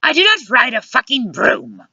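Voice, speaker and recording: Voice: mid-range voice, big pitch swings, normal speed, a bit bright, no echo, dull audio, voice pushed up. A narrator voicing an exasperated, bossy woman who lectures sharply in formal wording, in an audiobook story. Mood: fierce retort. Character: exasperated, scolding adult woman, fierce and bossy lover.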